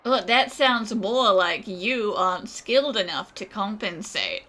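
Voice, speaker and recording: A sassy, easygoing young game character. Her tone is bright and lively in pitch, though she takes her time. She is frank and teasing. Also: measured pace, a little hiss or hum. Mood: wry, unimpressed. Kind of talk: video game voice acting.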